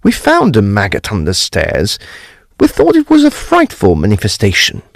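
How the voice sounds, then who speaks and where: An actor playing an earnest Edwardian gentleman, recounting a mystery in formal, emphatic phrases for an audio drama. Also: on the low side, wildly swooping pitch, moderate pace, vocal fry, no room sound, studio quality, exaggerated voice. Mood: astonished. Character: earnest, animated Edwardian English gentleman telling a ghost mystery. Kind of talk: period audio drama storytelling.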